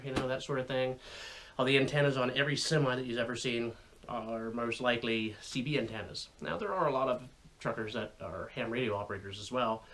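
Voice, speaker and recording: A man's voice: mid-range voice, a bit bright, slight background noise, low-quality recording. Wry man explaining a hobby casually. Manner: wry, sardonic asides. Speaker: radio hobbyist video host. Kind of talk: casual explanatory vlog talk.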